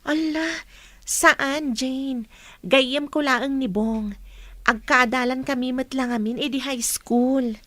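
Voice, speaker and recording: A woman's voice: high-pitched, steady tone, takes it slowly, a bit bright, dry recording. A woman with a Filipino accent playing a cheerful, dutiful teenage schoolgirl in a radio drama. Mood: teasing denial. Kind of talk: Ilocano radio drama acting.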